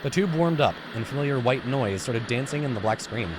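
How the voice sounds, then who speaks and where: A man's voice: mid-range voice, expressive, a little fast, noisy background. A man in his thirties reading visual novel narration aloud, informally, stumbling over words and adding casual comments. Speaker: gaming YouTuber, let's player. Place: indoor room, seated at a computer. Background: game music.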